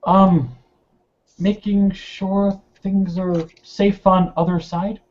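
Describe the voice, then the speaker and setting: A man's voice: high voice, level tone, very slow, a little mellow, lo-fi sound, voice pushed up. Terse, guarded man, acted by a player. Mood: guarded, calm. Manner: terse, evasive. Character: terse, guarded male restaurant guard with a sword.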